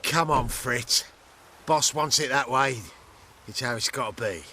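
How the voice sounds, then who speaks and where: An actor voicing a menacing street thug in a video game, with a harsh, gravelly voice and threatening manner. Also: male voice, medium pitch, sharp tone, a little hiss or hum. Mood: grudging. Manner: slow, threatening. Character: menacing street thug running protection racket. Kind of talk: video game dialogue acting.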